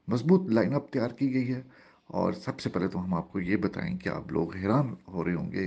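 A man presenting a cricket news report as a voice-over, in formal, measured phrasing. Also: fairly low pitch, gravelly, a little mellow, husky, highs cut off.

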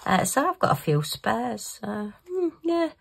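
A woman's voice: fairly high pitch, big pitch swings, unhurried, vocal fry, breathy edge. A woman chatting casually in a crafting vlog, giggly and self-mocking, recorded at her home craft table. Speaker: crafting vlogger.

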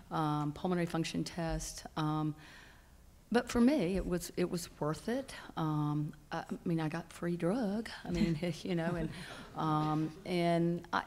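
A woman's voice: low voice, a little mellow. A middle-aged woman speaking as a patient on a conference panel, earnest and hesitant with frequent ums, into a stand microphone. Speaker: patient panelist and support group leader. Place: conference hall stage panel.

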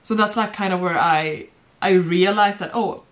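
A young woman from Sweden recounts her modeling years in relaxed, chatty speech. Her voice sits slightly low and often breaks into giggles. She talks on camera at home, seated beside a friend. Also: normal speed. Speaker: former model, guest. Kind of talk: casual storytelling chat. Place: bright home room, seated on sofa.

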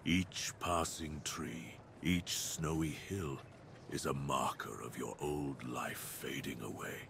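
A man's voice: a bass voice, fairly even intonation, takes it slowly, creaky, fairly bright tone, slight background noise, heavily acted. A man voicing a proud, menacing dire wolf beast in an ASMR roleplay, speaking with grave, commanding, theatrical deliberation. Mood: brooding.

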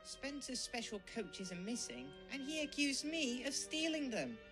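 A woman's voice: high voice, sing-song, unhurried, over music. A woman voicing a miserable, wronged little male tank engine in a fan cartoon dub, plaintive and downcast. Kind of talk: fan comic dub voice acting.

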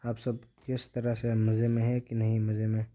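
A man's voice: lowish voice, completely flat delivery, normal speed. A man giving casual commentary as a gaming YouTuber while he plays. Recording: dull, lo-fi microphone sound.

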